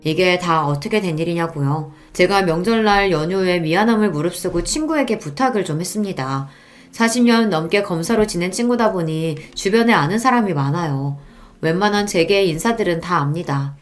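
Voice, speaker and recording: A woman's voice: lowish voice, moderate pace, faint music behind. A woman reading a scripted first-person story in a dramatic radio-story style. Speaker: story narrator.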